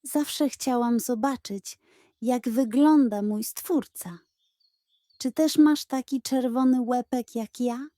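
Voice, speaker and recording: A woman voicing a naive, gullible and excitable female hen in a children's audio tale, wide-eyed and awestruck. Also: a little high, slow pace, no room sound, studio quality. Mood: delighted.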